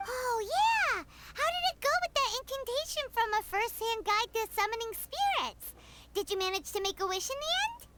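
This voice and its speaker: An actress voicing a tiny, excitable, childlike fairy companion in an anime-style video game, squeaky and full of eager chatter. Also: female voice, normal speed, very noisy. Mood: excited. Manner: eager, wide-eyed chatter. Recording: game music behind the voice. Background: loud game music.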